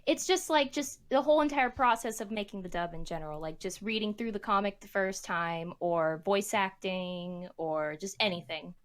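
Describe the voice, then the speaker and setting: A woman's voice: mid-range voice, normal speed, few pauses. A woman hosting a casual group chat, putting questions to the others. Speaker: host reading questions to a cast.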